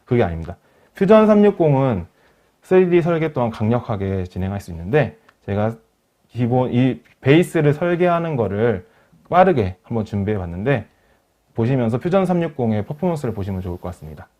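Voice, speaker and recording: A man presenting a software webinar, explaining step by step in polite, formal speech. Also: medium pitch, big pitch swings, fairly quick. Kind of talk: software demonstration, part rehearsed narration.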